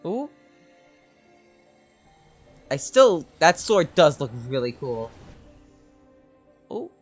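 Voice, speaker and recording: Man, game streamer, short casual reactions, very slow, animated pitch, slangy, background music. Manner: slangy, drawn-out short interjections.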